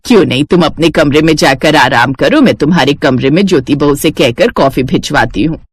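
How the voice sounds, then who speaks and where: A woman's voice: low-pitched, a little fast, breathy edge, no echo, voice pushed down. A woman voicing an older mother-in-law in a family story, doting and indulgent with a fawning manner. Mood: soothing.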